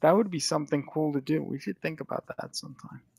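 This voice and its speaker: Gravelly profane man chatting casually. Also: a little high, fast, a little mellow, dry recording, clean studio sound. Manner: rambling, profane, restarts often. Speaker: podcast host. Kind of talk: casual chat.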